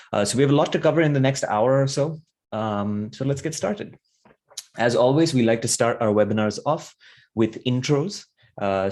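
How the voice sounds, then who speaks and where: A young man with a fairly low voice runs a live marketing webinar from his computer. He talks in a loose, rambling way, piling on fillers and restarting his sentences. The sound comes through a plain computer microphone. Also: darkish tone. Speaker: webinar host, content marketer. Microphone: computer mic on a live webinar.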